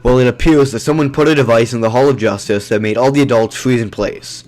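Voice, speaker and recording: A man's voice: mid-range voice, fast, darkish tone, natural voice. A man playing a grudging young male superhero in an audio drama. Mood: earnest. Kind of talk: amateur audio drama acting.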